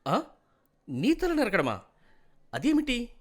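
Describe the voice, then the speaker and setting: A man's voice: very high-pitched, huge pitch swings, slow, exaggerated voice. A man voicing a determined young village dreamer in a storybook tale, earnest and emphatic. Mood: defiant. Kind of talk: dramatic storybook character acting.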